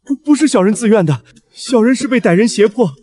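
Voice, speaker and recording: Man voicing a high, pleading cloth merchant. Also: animated, brisk, warm tone. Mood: frightened, pleading. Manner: self-abasing, pleading. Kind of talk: Chinese animated period drama acting.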